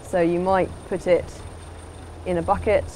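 A woman's voice: fairly low pitch, flat delivery, unhurried, mellow, slight background noise, poor audio. A woman giving an instructional voice-over as a sailing instructor, in a plain, explanatory way.

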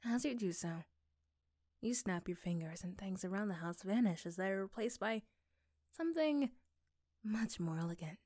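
Mellow woman narrating a story. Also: medium pitch, lively intonation, normal speed, pauses often, no echo, studio quality. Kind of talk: scripted story narration for ASMR roleplay.

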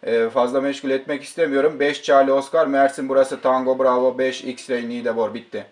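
A man's voice: medium pitch, steady tone, brisk. A man working as an amateur radio operator, speaking politely and courteously as he exchanges call signs and short radio messages.

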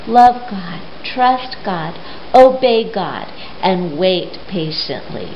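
Middle-aged female children's storyteller, very expressive delivery, simple questions and pauses for little listeners; roomy home recording. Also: fairly low pitch. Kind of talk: storytime talk and read-aloud for young children. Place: living room at home, indoors. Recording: roomy, dull sound with faint noise.

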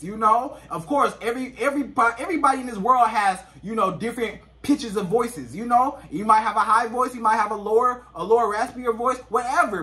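A man, giving emphatic, repetitive relationship advice straight to the camera. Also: high-pitched. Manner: emphatic, repetitive, gestures constantly, often rises to near shouts. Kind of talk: animated direct-to-camera advice talk. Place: small indoor living room. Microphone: camera a few feet away. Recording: slightly distant camera mic.

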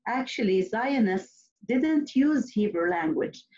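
A woman's voice: a little high, lively intonation, slow, a little mellow. A middle-aged woman whose first language is Arabic, giving a prepared panel talk over a video call, earnest and hesitant, with frequent restarts. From Nazareth. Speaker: panelist, clinical psychologist. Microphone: laptop webcam mic on a video call. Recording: dull lo-fi video call audio.

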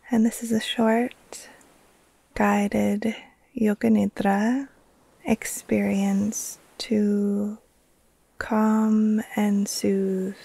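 Slow, breathy woman guiding deep relaxation. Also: no room sound, poor audio. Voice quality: breathy, soft, often trailing into near-whisper. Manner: slow, soothing, hushed, with long pauses between short phrases. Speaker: yoga nidra teacher, meditation guide. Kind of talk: guided relaxation meditation.

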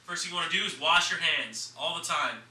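A man's voice: fairly high pitch, brisk, pushed and bright. Young guy chef doing a cooking demo, kinda far from the mic in an echoey kitchen. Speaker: chef hosting a cooking show. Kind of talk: casual cooking demonstration chat. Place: small home kitchen, indoors. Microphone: camera a few steps away. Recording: echoey noisy kitchen, distant camera microphone.

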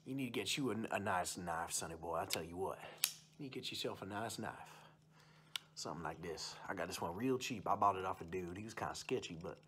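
A man's voice: low voice, fairly quick, background noise, lightly acted. A young man playing a boastful, folksy uncle who rambles about hunting and fishing in an ASMR roleplay. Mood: boastful, enthusiastic. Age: young adult. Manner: folksy, rambling, repeats stock phrases. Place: small room indoors.

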